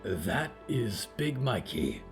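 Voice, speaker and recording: A man voices a gentle undead figure in an audio drama, low and deliberate. The speech is formal and stilted, slow and matter-of-fact, over faint music. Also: very animated, full character voice. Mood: calm, reassuring. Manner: stilted, formal, careful wording.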